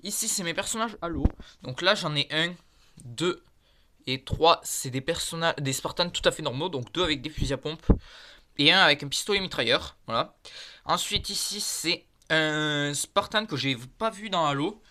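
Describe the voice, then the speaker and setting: A man with a fairly high voice walks through his collection in a casual, halting way, stopping on filler words as he points out each piece. He sounds stuffy from a head cold, recorded on a handheld phone in a converted attic. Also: bright, forceful tone, slight background noise. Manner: halting, filler-heavy, points things out one by one. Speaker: hobbyist presenting his toy collection. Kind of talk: casual show-and-tell narration. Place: converted attic room with creaky floor. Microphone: phone camera held in hand.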